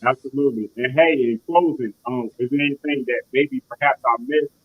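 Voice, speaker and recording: A man's voice: mid-range voice, brisk. A man hosts an interview in a loose, rambling way, filling his thoughts with repeated asides. His voice comes through a thin, phone-like line. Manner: rambling, repeats "you know what I mean". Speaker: interview show host. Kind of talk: casual interview chat.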